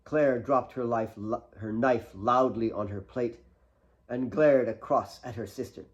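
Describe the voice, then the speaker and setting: A man's voice: mid-range voice, unhurried, lo-fi sound. A man narrates a novel he wrote himself. The delivery is scripted and dramatic, in the manner of an audiobook, with a mid-range voice. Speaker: author reading own novel.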